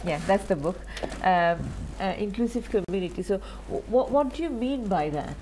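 Tv host lady, middle-aged, cuts in briskly to move the panel along. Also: mid-range voice, expressive, warm tone, slight background noise, rough recording. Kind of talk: moderating a panel. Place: TV studio. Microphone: lapel.

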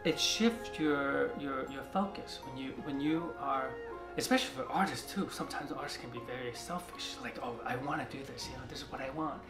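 A man in his forties from China, talking in a soft, halting way with many restarts during a seated interview in an art studio. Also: mid-range voice. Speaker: artist. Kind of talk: reflective interview talk. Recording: roomy studio sound with music behind.

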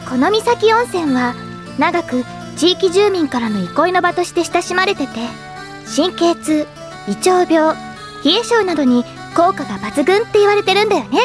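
Sweet, excitable teenage girl: extremely high, girlish anime drama voice. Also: moderate pace, music in the background. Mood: cheerful. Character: sweet, easily flustered teenage schoolgirl. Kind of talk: anime audio drama acting.

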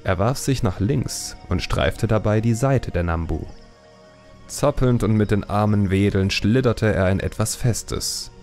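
A man narrates a fantasy story in a deep voice. His delivery is slow, measured and dramatic, with careful scripted phrasing. Soft music plays behind him. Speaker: audiobook narrator.

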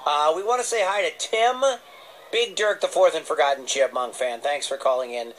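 A man's voice: fairly high pitch, normal speed, fairly bright tone. A man hosting a children's radio show in a casual, playful way. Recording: radio played from tablet, some noise.

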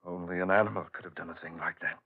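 Deep-voiced earnest radio drama husband. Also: male voice, steady tone, husky. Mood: grave, resolute. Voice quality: low-pitched voice. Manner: deliberate, dramatic delivery. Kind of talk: 1940s radio horror acting. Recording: dull old radio recording.

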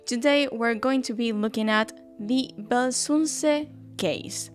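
A woman's voice: on the high side, slow. A young woman with an Argentine Spanish accent, Spanish her first language, narrates a true crime case in a casual, chatty way, often turning to the viewer with little asides. She talks into a studio mic on a stand in a room at home, with faint music underneath.

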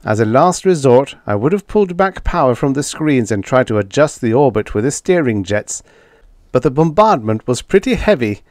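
A man voicing a gaunt, tired starship captain giving a brisk, plain account. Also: mid-range voice, very animated, darkish tone, no echo, clean studio sound, pitched above the performer's own voice, lightly acted. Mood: tense. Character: gaunt, weary starship captain, steady and determined.

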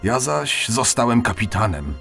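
A man's voice: medium pitch, sing-song, measured pace, gravelly, fairly bright tone, faint music behind, exaggerated voice. A man playing a weary, proud old elf veteran captain in a fantasy audio drama, authoritative and melancholy. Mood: wistful, resolute.

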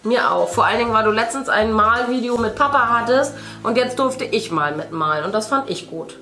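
A woman in her thirties with a fairly high voice talks casually to children watching her video. She explains things directly to them as a family channel host. The room adds clear echo, with some music behind her. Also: expressive, brisk. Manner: speaks to children, addressing viewers directly. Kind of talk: casual chat, explaining to viewers while painting. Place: indoor room at a table. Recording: roomy sound, faint music.

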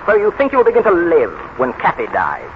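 An actor playing a bitter, mocking, broken drunkard in 1930s radio drama. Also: male voice, a little high, wildly swooping pitch, fairly quick. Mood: bitter mockery. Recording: tinny, noisy 1930s radio recording.

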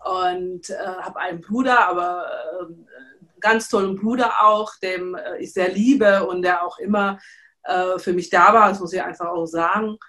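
A woman's voice: on the low side, normal speed. A woman in her thirties from Czechoslovakia, a business coach, telling her story over a video call with many fillers. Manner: lively with frequent fillers. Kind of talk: interview storytelling. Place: studio room with teal backdrop.

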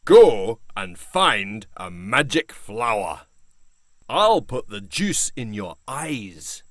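A man voicing a scheming, commanding fairy king in a children's cartoon story, speaking slowly. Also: fairly low pitch, very animated, a little hiss or hum, heavily acted. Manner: slow, commanding.

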